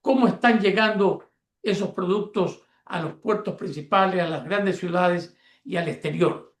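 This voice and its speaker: A man's voice: high voice, measured pace. A man, hosting a radio interview and asking long, winding questions. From Ecuador. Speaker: radio show host. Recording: echoey room.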